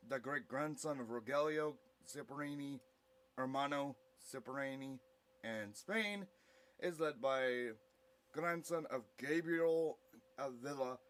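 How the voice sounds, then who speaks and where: A man reading scripted narration for an audio play in a halting, word-by-word way. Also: medium pitch, fairly bright tone.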